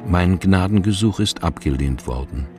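An actor playing a condemned man reading out a farewell letter in a radio drama, measured, grave and formal. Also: low voice, animated, darkish tone, faint music behind. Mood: grave, resigned.

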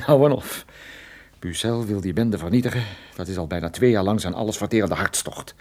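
Man acting as low-voiced, wry private detective. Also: normal speed, darkish tone, close to an everyday voice. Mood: urgent, resolute. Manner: conversational, hesitant interjections, explanatory. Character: wry, stubborn male private detective, injured but determined. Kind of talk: Dutch radio play acting.

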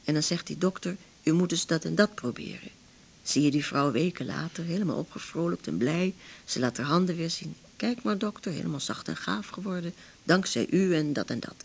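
Husky, creaky-voiced woman patient. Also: deep voice, lively intonation, fairly quick, heavily acted. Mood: earnest, plaintive. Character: earnest, troubled patient describing a complaint. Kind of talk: radio drama acting.

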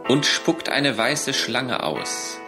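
An actor as a poetic storyteller, narrating a scripted radio drama. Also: male voice, medium pitch, very animated, drawn-out words, exaggerated voice. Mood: lyrical. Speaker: narrator in a chorus. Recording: clear music under the voice.

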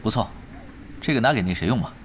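A man acting a calm young team leader in an audio drama, decisively giving orders. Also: on the low side, fast, a little hiss or hum, lightly acted. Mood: casual, in charge. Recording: loud music and effects behind voice.

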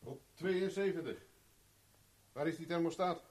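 An actor playing a cool-headed, commanding private investigator in a 1970s Dutch radio drama, speaking tersely and with urgency. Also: male voice, on the high side, measured pace, darkish tone. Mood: controlled, sardonic. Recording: noisy vintage 1970s radio recording.

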